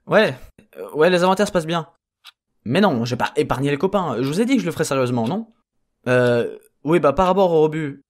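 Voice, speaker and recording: A man's voice: on the high side, animated, fairly quick, natural voice. A man playing a flustered, sarcastic henchman clone, rambling and exasperated in a comedic audio drama. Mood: flustered, defensive.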